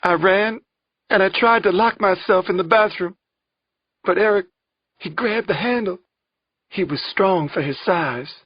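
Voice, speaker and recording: A man voicing a frightened, distraught young woman on the witness stand, in a faint girlish voice with halting delivery. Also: medium pitch, lively intonation, slightly rough, dry recording, dull audio, pitched above the performer's own voice. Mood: anguished, tearful. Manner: halting, anguished.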